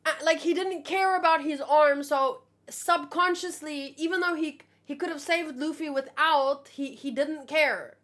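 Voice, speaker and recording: A woman hosting a casual live read-along, thinking aloud in an animated, exclamatory way with rambling asides. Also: high-pitched, unhurried, hardly any pauses.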